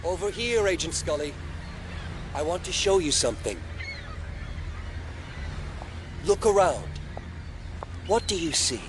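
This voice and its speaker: An actor playing a scheming telekinetic young man in an audio drama, speaking in a measured, controlling and ominously earnest way. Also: a little high, expressive, slight background noise, lightly acted. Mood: eerily inviting.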